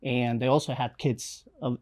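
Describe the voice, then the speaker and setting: A young man from Romania with a Romanian accent, telling his life story in an interview with many pauses, fillers and restarts. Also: mid-range voice, steady tone, darkish tone. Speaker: missionary guest. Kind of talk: interview, personal testimony.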